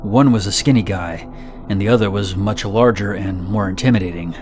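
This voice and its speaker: A man reading first-person horror stories in a steady, measured, somber narration. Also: lowish voice, over music.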